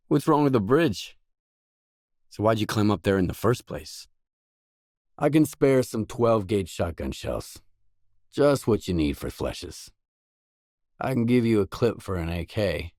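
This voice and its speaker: A man's voice: lowish voice, darkish tone, no room sound, studio quality. An actor playing a wary, pragmatic male loner stalker in a video game, speaking in a terse, blunt, matter-of-fact way. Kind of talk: game dialogue voice lines.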